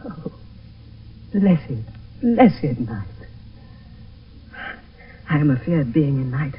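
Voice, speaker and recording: A man's voice: on the high side, sing-song, very slow, exaggerated voice. A British actor playing an ardent, dreamy young nobleman in love, with lyrical verse delivery in classical radio drama. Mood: dreamy longing. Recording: dull, hissy old radio broadcast, distant.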